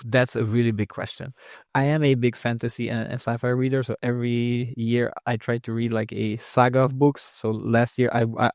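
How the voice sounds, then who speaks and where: A man's voice: mid-range voice, vocal fry, darkish tone, no echo, clean studio sound. A young man speaking English as a second language with an accent, talking casually as a guest oncologist, often restarting and repeating words. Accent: non-native English. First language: not English. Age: young adult. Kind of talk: casual interview conversation.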